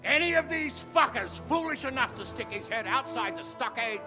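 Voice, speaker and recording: An actor playing a gruff, blunt, hot-tempered medieval lord in a video game, giving orders to his soldiers. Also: very high-pitched, lively intonation, normal speed, music in the background. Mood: rousing, commanding. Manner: blunt, commanding, coarse-mouthed. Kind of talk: dramatic video game acting.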